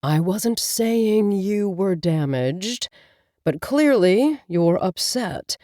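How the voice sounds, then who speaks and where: Woman doing a gentle male cat familiar, caring, unhurried delivery. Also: mid-range voice, lively intonation, dry recording, studio quality. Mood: concerned.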